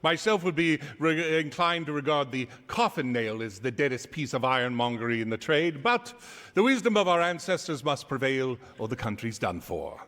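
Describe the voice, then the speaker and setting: A man narrating a story theatrically in a wry, formal, deliberate way, in an echoey theater hall. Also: mid-range voice, lively intonation, a bit bright. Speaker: stage narrator and presenter. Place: theater stage.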